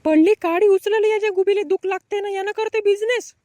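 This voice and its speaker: A man voicing an angry, sharp-tongued village woman with a rural Marathi accent in a comic cartoon, scolding. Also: very high voice, fairly even intonation, a little fast, no echo. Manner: scolding, cursing.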